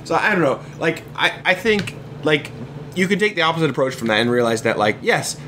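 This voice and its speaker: A man's voice: a little high, fairly quick, pushed and bright, a little hiss or hum. A man talking casually as a podcast guest, rambling with many false starts. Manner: rambling, hesitant, many restarts. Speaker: podcast guest, comics artist. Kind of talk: casual chat.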